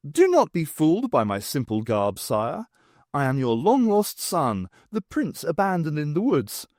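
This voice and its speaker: A brisk, wry heroine who takes charge, acted by a male narrator. She is decisive, stern and a little theatrical, with highly animated intonation in a dry storybook reading. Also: no echo. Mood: jaunty bluffing. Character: brisk, bossy adventurer woman, wry and quick-witted.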